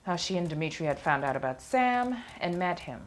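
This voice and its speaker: A woman's voice: lowish voice, very animated, moderate pace, slight background noise. A woman playing a level-headed witness, recounting family troubles in a conversational storytelling monologue. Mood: matter-of-fact.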